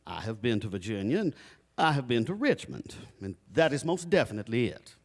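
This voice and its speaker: A man's voice: medium pitch, very animated, fairly quick. An actor playing a flustered, dignified father in a live stage drama, with a formal, pompous, hesitant manner. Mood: flustered, insistent. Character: flustered, dignified father improvising answers for his children. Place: recreation center stage, live performance.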